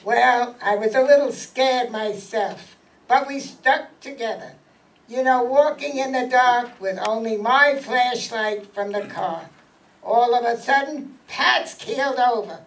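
A woman's voice: a little high, takes it slowly. A woman playing a short, wheedling small-time male gangster with slangy tough-guy talk in a stage radio mystery. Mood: feigned sorrow, evasive. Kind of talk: radio mystery acting before a live audience. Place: meeting room stage, camera among audience. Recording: distant mic in an audience room.

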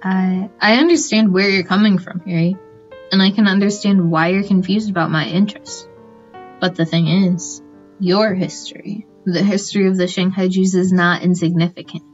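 A woman's voice: medium pitch, steady tone, moderate pace, natural voice. A woman acting in a radio play as an earnest, sympathetic young interviewer. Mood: earnest, reassuring. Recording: slightly dull recording, music behind.

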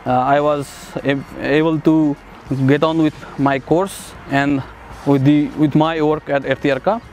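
A young man from Nepal, a master's student, talking casually about his studies with many hesitant fillers and soft chuckles, recorded on a lapel mic. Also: a little high, steady tone, a little slow, lo-fi sound, a little hiss or hum. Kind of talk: casual testimonial interview. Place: outdoors on a city riverside path and in a bright building.

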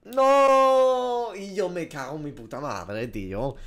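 A young man with a Spanish accent reacts to a game out loud in a small room. He swears freely and mocks himself, his voice sitting a little high. He sounds casual and animated. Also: slow pace, faint music behind. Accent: Spanish from Spain. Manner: swears often, animated, self-mocking. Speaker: gaming streamer.

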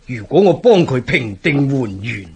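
An actor playing an indignant, excitable general in a historical radio drama, declaiming with a husky voice. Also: male voice, medium pitch, a little slow, exaggerated voice. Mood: grave, weary. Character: blustering, indignant turncoat army general.

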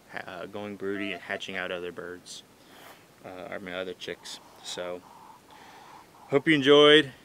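Man, 30s, bright low-ish voice, unscripted outdoor vlog, camera mic, faint outdoor hum. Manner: halting, lots of fillers and restarts. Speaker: backyard chicken keeper. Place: outdoors in a sunny pine-wooded farmyard. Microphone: camera mic at close range.

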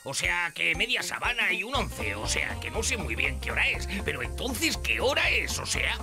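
A man's voice: sits high, very animated, normal speed, vocal fry, full character voice. An actor, a man, playing a muddled, rambling cartoon character who talks in confused, repetitive loops. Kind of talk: cartoon dub acting. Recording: music behind the voice.

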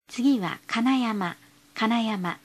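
A woman's voice: fairly high pitch, drawn-out words. A woman reading scripted bus stop announcements in a polite, formal, carefully enunciated way.

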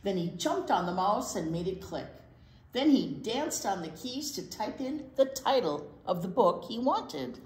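An older woman reading a picture book aloud to children in an expressive way, with asides to young listeners. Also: mid-range voice, unhurried, a little hiss or hum. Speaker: library storytime reader. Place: indoor library storytime set. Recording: roomy indoor sound.

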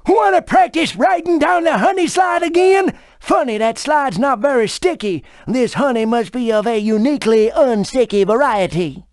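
A woman's voice: high-pitched, moderate pace, hardly any pauses, darkish tone, no room sound, clean studio sound, natural voice. A woman playing a folksy, chatty honey-swamp dragon in a video game. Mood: friendly. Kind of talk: scripted video game character dialogue.

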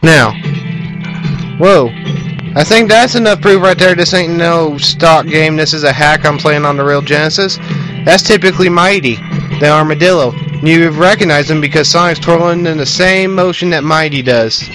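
A man's voice: on the high side, normal speed, over music, rough recording. A man giving casual, rambling gameplay commentary with crude language, recorded indoors in a small trailer on a camera aimed at the screen. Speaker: gamer, video host.

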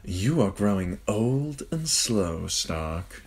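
A man's voice: fairly low pitch, animated, normal speed, husky. A man voicing a sly, glib male nobleman who teases in a sardonic way. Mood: mocking. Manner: teasing, sardonic.

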